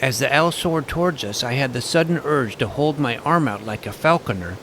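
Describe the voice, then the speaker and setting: A man's voice: mid-range voice, moderate pace, fairly bright tone, slight background noise, poor audio. A man narrating a comic fantasy adventure in the first person as its self-important young hero.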